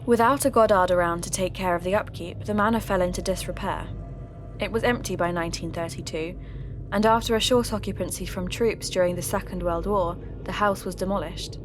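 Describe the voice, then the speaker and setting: A woman playing a polite, curious radio host who investigates mysteries for a podcast, speaking in a measured, questioning way. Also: on the low side, fairly even intonation, background noise, lightly acted. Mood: measured, intrigued. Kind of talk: scripted narration and phone interview.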